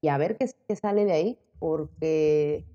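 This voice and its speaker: A woman's voice: deep voice, unhurried. Mexican woman in her late twenties, chatty. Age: late 20s. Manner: animated, laughing, quoting herself in lively bursts. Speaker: interview guest, content creator. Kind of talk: casual conversation. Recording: dull audio with long reverb.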